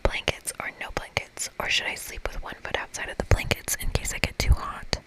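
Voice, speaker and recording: An ASMR reader voicing an overeager, intense female game monster in a breathy whisper. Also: fairly quick, bright, forceful tone, natural voice, poor audio. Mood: excited, earnest. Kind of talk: visual novel game dialogue read as ASMR.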